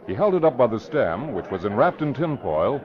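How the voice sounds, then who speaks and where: A man's voice: medium pitch, big pitch swings, brisk, close to an everyday voice. A man narrating a scripted mystery in urgent 1940s radio style. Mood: dramatic. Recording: dull vintage radio recording with crowd.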